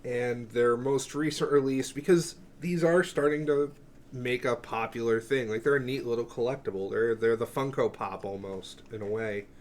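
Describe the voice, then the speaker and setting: A man's voice: medium pitch, normal speed. A man giving an offhand, rambling show-and-tell as a collector, swearing freely and often interrupting himself. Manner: offhand, profane, self-interrupting. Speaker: music collector presenting a cassette collection.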